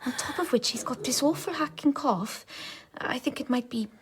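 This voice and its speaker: Hushed, motherly midwife played by a woman. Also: high voice, normal speed, noisy background, lightly acted. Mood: hushed, confiding. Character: motherly village midwife and foster carer, discreet, indignant. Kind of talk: radio drama acting.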